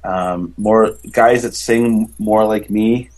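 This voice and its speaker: Male baritone, lowish pitch, chatty and rambling musician guest on a podcast. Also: fairly even intonation, takes it slowly, darkish tone, rough recording. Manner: rambling, enthusiastic, many fillers and restarts. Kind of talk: casual interview chat.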